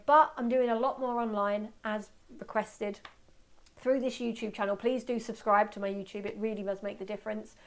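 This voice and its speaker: Female webinar presenter, medium pitch, informal and talkative, excitable and self-deprecating, speaking live to learners. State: voice slightly going from talking. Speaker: photography workshop presenter. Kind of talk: informal live webinar talk with audience questions.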